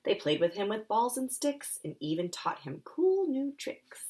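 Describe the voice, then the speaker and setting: A woman, an author, reading her children's picture book aloud and talking it through for a young audience, with expressive delivery. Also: medium pitch, unhurried, a little hiss or hum. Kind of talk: live children's story time reading and friendly explanation.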